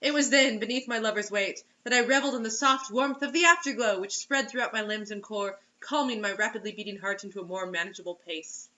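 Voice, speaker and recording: A woman's voice: medium pitch, fast talker, hardly any pauses, pushed and bright, muffled recording. A woman giving a theatrical, giggly, mocking read-aloud of amateur fiction, with asides. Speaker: amateur reader of bad fiction.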